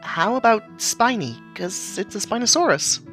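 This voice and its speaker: A man voicing an excitable, gushing young woman who coos over cute creatures in an audio story. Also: high-pitched, normal speed, faint music behind, voice pushed up. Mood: curious, bright.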